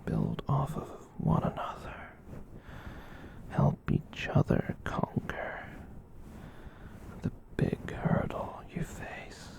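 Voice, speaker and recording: A performer voicing a gentle, kind giant catboy in a soft breathy whisper, rambling reassuringly in an ASMR roleplay. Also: medium pitch, heavily acted. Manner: rambling, reassuring, slow with long pauses.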